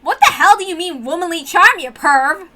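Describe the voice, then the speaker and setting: Energetic teenage tomboy played by a woman reader, high, bright, brash and casual, for visual novel. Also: moderate pace, heavily acted. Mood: outraged.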